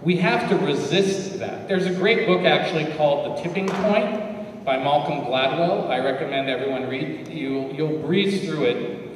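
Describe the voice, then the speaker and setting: An American-born man on a forum panel, telling personal stories to an audience in a lively, direct way. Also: a little high, slight background noise. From United States. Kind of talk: storytelling talk to an audience. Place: large hall at a community forum. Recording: echoey hall from far off.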